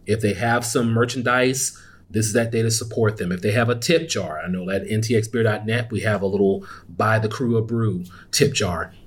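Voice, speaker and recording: A man's voice: lowish voice, steady tone, moderate pace, breathy edge. A man hosting a podcast, giving upbeat, conversational announcements in a casual solo style. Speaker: podcast host.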